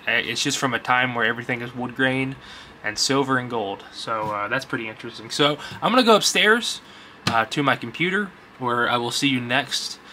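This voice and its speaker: A man's voice: medium pitch, expressive, fairly quick, background noise. A man chatting casually and informally, rambling in folksy grammar as he vlogs about his collection. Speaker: YouTube vlogger, vintage electronics collector. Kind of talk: casual rambling vlog chat.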